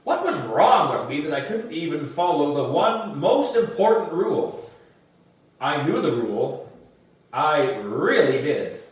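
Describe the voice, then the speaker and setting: A man reading a children's story aloud in an animated way, with dramatic emphasis. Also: fairly high pitch, unhurried. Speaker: audiobook reader for a book club. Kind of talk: expressive children's storybook narration. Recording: roomy echo, distant microphone.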